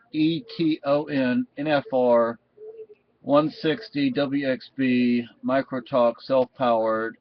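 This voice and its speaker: A man giving a casual, unscripted product review in a halting, rambling way. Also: medium pitch. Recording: dull, muffled lo-fi sound.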